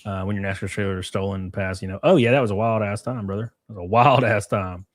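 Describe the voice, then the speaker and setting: A man's voice: on the low side, fast talker, dry recording, clean studio sound. Blunt, rambling male streamer. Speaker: streamer host. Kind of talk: casual reaction commentary.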